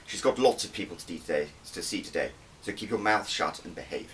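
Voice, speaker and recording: A man's voice: sits low, lively intonation, brisk, a bit bright, a little hiss or hum. Male narrator as stern department head, clipped orders, disapproving, audiobook thriller, slightly distant mic. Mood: hushed warning. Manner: clipped, curt and bossy.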